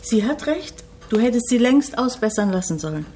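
An actress playing a polite provincial gentlewoman in a radio drama. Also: on the high side, very animated, moderate pace. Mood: mild.

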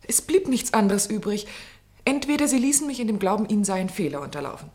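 An actress in a German radio play as a conscientious, persistent clinic assistant, formal and restrained but pointedly reproachful. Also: female voice, medium pitch, animated, quick pace, a little mellow, natural voice. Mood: insistent, reproachful.

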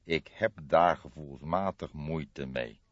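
A man voicing an older police detective in an audiobook, speaking in a deliberate, formal and ponderous manner. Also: extremely low pitch, no echo, lo-fi sound. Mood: thoughtful, doubtful. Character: brooding old male police detective, deliberate, weighty, slightly formal. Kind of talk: audiobook character dialogue.

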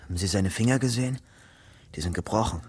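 A man's voice: lowish voice, fast, a little mellow, natural voice. An actor playing an anxious, guarded young man on the run in a German radio crime drama. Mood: wary. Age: young adult. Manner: brooding narration alternating with urgent questioning. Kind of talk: German radio crime drama, first-person narration and dialogue.

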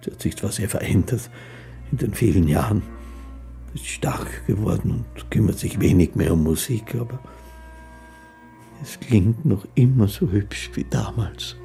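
An older man, an actor playing a humble, gentle poor street musician telling his life story in a radio drama. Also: lowish voice, expressive, gravelly, faint music behind. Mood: quietly fond. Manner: gentle, unhurried, modest.

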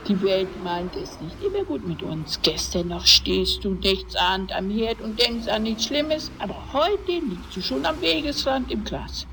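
A woman's voice: mid-range voice, expressive, unhurried, gravelly, few pauses, fairly bright tone, slight background noise, rough recording. A woman playing a lonely, chatty old lady who rambles plaintively and self-pityingly in a parody radio play. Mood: plaintive, rambling. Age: older.

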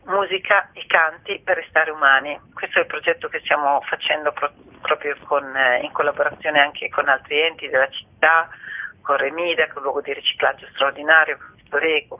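Brief: female theatre-artist guest, earnest and poetic in phrasing, heard down a narrow telephone line. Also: sits low. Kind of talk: radio phone interview.

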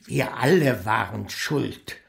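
An elderly retired estate agent for a radio play. His voice is gravelly and he speaks very slowly, hesitating as he reflects. He sounds lonely and burdened with old guilt. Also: medium pitch, animated, exaggerated voice. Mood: heavy, confessing. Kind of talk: radio drama acting.

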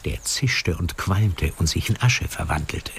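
A man's voice: low-pitched, lively intonation, a little slow, creaky, fairly bright tone, a little hiss or hum. A man narrating a scripted fantasy story in a radio play. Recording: music under the voice.

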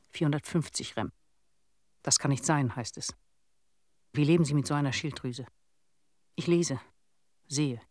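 A man's voice: on the high side, dry recording, clean studio sound, close to an everyday voice. An actor playing a weary, bitter local man who gives testimony in clipped, repetitive phrases in a radio play. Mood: flat grim listing.

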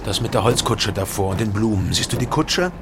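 An actor playing a rough, bullying petty crook in a radio play, curt and domineering. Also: male voice, on the low side, lively intonation, a little fast, noisy background. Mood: bullying, impatient.

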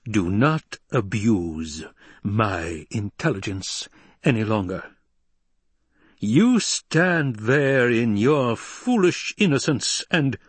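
Audiobook Emperor, played by a man: older, haughty, wrathful, domineering; raised pitch, declamatory bite, deliberate weight. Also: lively intonation, husky, no room sound. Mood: enraged. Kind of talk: dramatic audiobook character voice.

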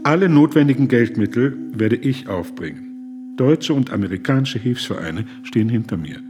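A man's voice: mid-range voice, expressive, moderate pace, music in the background, lightly acted. An actor in a radio drama playing an impassioned German humanitarian who pleads earnestly and formally with a man in power. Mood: determined pleading.

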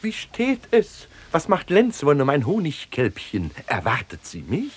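Ein Schauspieler spielt in einem komischen Hörspiel einen stolzen, polternden Familienvater und Helden aus dem alten Athen, theatralisch und pompös. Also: male voice, fairly high pitch, wildly swooping pitch, measured pace, husky, full character voice. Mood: blustering. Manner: theatrical, pompous. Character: proud, blustering father, vintner hero of ancient Athens. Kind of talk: comic stage-style radio drama dialogue. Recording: hissy 1969 radio play recording.

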